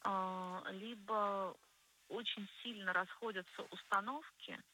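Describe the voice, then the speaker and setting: A woman speaking as a guest psychologist on a radio show, explaining conversationally and deliberately, stressing key words. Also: mid-range voice, steady tone, pushed and bright, slight background noise, poor audio. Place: radio studio.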